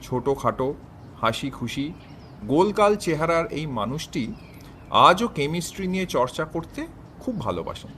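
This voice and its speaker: A man narrating a scripted story in an expressive, literary manner. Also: a little high, moderate pace, long pauses, slight background noise.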